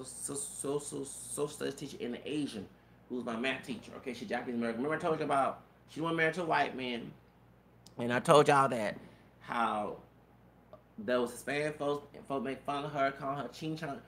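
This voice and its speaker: A man's voice: medium pitch, vocal fry, a bit bright. A man in his thirties with a feminine-sounding voice, telling a rambling personal story with stammered restarts and cursing. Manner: repetitive stammered restarts, profanity, frequent "you know what I'm saying". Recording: roomy echo, faint background noise.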